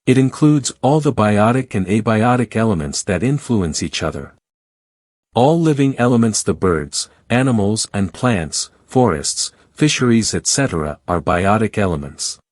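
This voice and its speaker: A man's voice: fairly low pitch, animated, normal speed, a little mellow, no room sound, clean studio sound. A man reading an educational passage aloud as scripted narration for exam practice.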